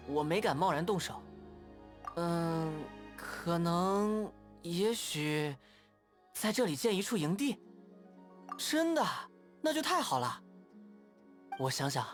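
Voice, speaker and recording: An actor voicing a friendly, playful young scout in a game's dubbed voice lines. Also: male voice, high-pitched. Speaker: game character. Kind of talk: game voice lines.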